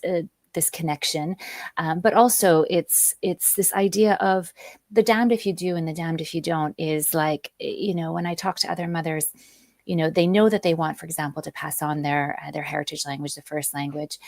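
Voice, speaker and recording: A woman's voice: low-pitched, darkish tone, no echo, studio quality. A woman, a guest author talking thoughtfully in an interview, with frequent ums and restarts. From Canada. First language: Polish. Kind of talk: conversational interview.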